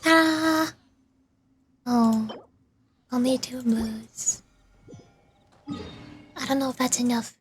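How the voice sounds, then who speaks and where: A woman's voice: high-pitched, extremely slow, long pauses. A woman doing casual live game commentary and chatting with viewers in a playful, self-deprecating way. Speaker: VTuber game streamer. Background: game music.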